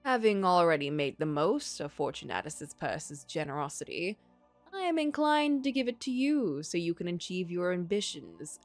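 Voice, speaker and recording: Woman doing a smooth sly gentleman from a story game, pushy but polite, lots of lilt. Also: fairly low pitch, sing-song, normal speed, dry recording, faint music behind. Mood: persuasive. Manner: courtly, coaxing, insistent. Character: sly, smooth-talking gentleman tempter offering magical bargains.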